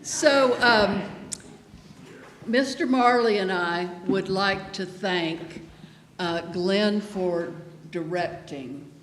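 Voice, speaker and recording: Woman, slow-paced, chatty halting speech, event organizer, reverberant hall, audience present. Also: medium pitch. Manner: chatty, halting, addressing the room. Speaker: event organizer giving thanks. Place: large echoey hall with an audience. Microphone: stage microphone. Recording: echoey hall, audience noise, faint hiss.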